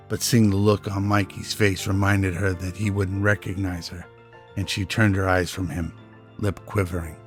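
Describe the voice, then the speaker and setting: Low, even-toned male drama narrator. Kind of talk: scripted radio drama narration. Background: music.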